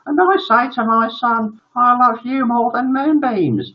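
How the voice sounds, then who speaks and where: A man's voice: very high-pitched, measured pace, recorded from a distance, lo-fi sound, pitched above the performer's own voice, full character voice. A man voicing a gushing, doting mother in a children's story, with cooing, melodramatic baby talk.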